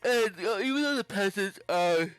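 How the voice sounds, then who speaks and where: A man's voice: high voice, animated, moderate pace, fairly bright tone, clear, resonant tone, no room sound, soft background music. A young man giving casual, rambling commentary while playing a game, swearing offhandedly now and then. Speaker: gaming channel host.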